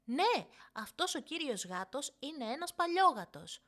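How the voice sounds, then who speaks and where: A small, mischievous younger sister in a children's tale, voiced by a woman. The voice is lifted high, playful and childlike. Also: sing-song, fairly bright tone. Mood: playing along.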